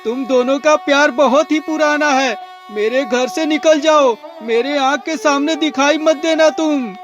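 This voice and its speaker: A man's voice: very high-pitched, unhurried, soft background music. A man playing a suspicious, hot-tempered husband in a melodramatic cartoon moral story, speaking emphatically. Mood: angry accusing.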